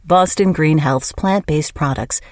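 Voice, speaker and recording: A woman's voice: deep voice, a little slow, darkish tone, no room sound, studio quality. A woman reading a scripted sponsor advertisement. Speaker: sponsor announcer.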